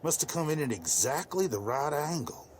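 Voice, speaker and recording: A man's voice: a little high, animated, moderate pace, a little hiss or hum, close to an everyday voice. A man playing an older, devout, plain-spoken rural farmer in a motion comic. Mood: calm, steady. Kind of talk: dramatized motion comic dialogue.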